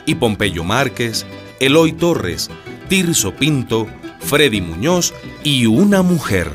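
A male narrator tells a historical radio drama in a mid-range voice. His delivery is animated and dramatic, with a dry wit, and music plays clearly behind him. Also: medium pitch, slow.

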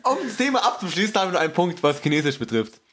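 A male podcast co-host in casual conversation. His voice sits a bit high and moves around a lot. He talks in an animated way and breaks into laughter often. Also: very fast. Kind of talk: casual chat.

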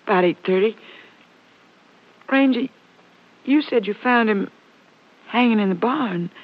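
An actress playing a wronged, defensive ranch wife in a 1950s radio drama. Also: female voice, on the high side, a little slow. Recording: muffled old radio recording.

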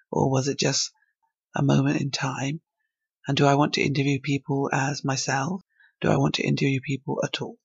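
A woman's voice: a bass voice, gravelly, breathy edge, no room sound, dull audio. A woman from England hosting her own podcast, talking alone in a reflective, thinking-aloud way. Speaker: podcast host. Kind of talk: solo podcast monologue.

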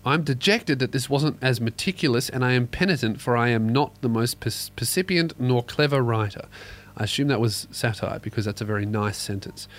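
A man's voice: medium pitch, lively intonation, a little fast. A young man hosting a casual comedy podcast, crude and sardonic, reading listener emails aloud. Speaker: podcast host.

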